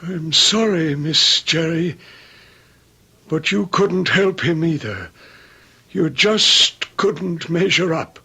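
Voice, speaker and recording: An obsessively devoted manservant and former commando in a radio mystery. The voice is sharp and gravelly, and he speaks slowly. His manner is polite and measured, with menace underneath. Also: on the high side, animated, heavily acted. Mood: gentle menace. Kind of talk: radio mystery drama acting.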